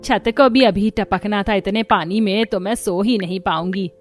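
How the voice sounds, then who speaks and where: A woman voicing a scolding, scheming middle-aged mother-in-law in an animated moral story, with a nagging, melodramatic delivery. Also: medium pitch, normal speed, close to an everyday voice. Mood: worried muttering.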